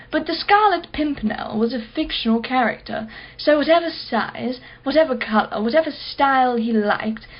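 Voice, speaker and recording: A woman's voice: high voice, muffled recording. A woman playing a wealthy, sarcastic customer in a radio play, with a wordy, theatrical way of talking.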